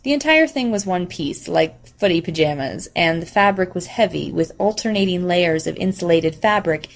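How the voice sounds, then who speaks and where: A woman's voice: fairly low pitch, animated, moderate pace, vocal fry. A woman reading scripted narration of a novel as an audiobook narrator.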